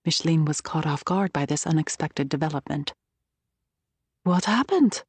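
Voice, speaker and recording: A woman's voice: low voice, steady tone, brisk, darkish tone, slightly rough, no room sound. A woman reading a historical thriller audiobook in a scripted, dramatic narrating style.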